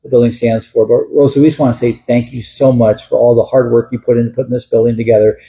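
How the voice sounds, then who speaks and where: Folksy sheriff with a mellow voice. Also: male voice, mid-range voice, flat delivery, quick pace, dull audio. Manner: folksy, self-deprecating, thankful. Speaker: sheriff speaking at a ceremony. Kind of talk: public speech at a ceremony.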